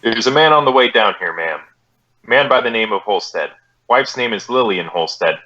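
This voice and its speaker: A man playing a polite, by-the-book police detective with a deadpan, clipped delivery in a radio drama. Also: lowish voice, moderate pace, dull audio. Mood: stoic, firm. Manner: deadpan, clipped, matter-of-fact.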